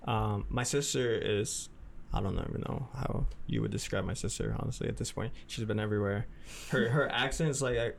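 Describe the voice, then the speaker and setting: A man's voice: lowish voice, steady tone, moderate pace, gravelly, hardly any pauses, a little hiss or hum. A young man from Mexico, co-hosting a casual podcast and telling chatty anecdotes. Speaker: podcast co-host.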